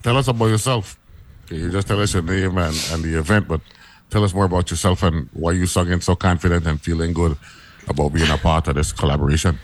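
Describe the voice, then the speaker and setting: A man's voice: low-pitched, steady tone, fast talker. A man hosting a casual interview, repeating words as he asks his questions. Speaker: interviewer, host.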